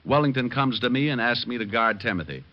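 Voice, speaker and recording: A man's voice: medium pitch, expressive, fast, lightly acted. A man playing a cool, wisecracking private detective, breezy and sardonic, in an old radio drama. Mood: assured, wry. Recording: muffled old radio recording.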